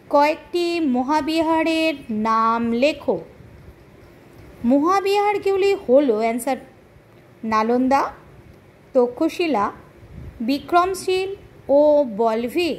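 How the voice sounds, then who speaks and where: A woman dictates a question-and-answer lesson to schoolchildren in a deliberate, measured teaching manner. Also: high-pitched, expressive. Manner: deliberate, spelling out punctuation as dictation. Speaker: history tutor for schoolchildren.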